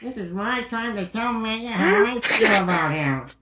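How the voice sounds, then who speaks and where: A man's voice: high-pitched, huge pitch swings, moderate pace, very noisy, pitched above the performer's own voice, exaggerated voice. A man voicing a perky, lovesick young girl program in an amateur cartoon-style reading. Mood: lovestruck. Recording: roomy, dull lo-fi mic.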